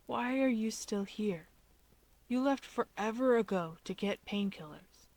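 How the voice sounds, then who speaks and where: A quiet, drawling teenage boy, voiced by a woman narrator, smug and bratty as a little brother in an audiobook. He sounds ailing and deadpan, slow to get his words out. The recording is dry. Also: fairly high pitch, dry recording. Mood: weary complaint. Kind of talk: fan fiction audiobook character voice.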